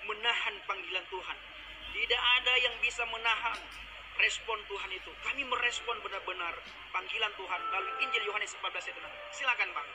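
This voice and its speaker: A man's voice: high-pitched, a little fast, heavy background noise. A man from Aceh preaching emphatically, giving a religious testimony to viewers. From Aceh, Indonesia. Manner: emphatic, declaiming. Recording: loud music underneath.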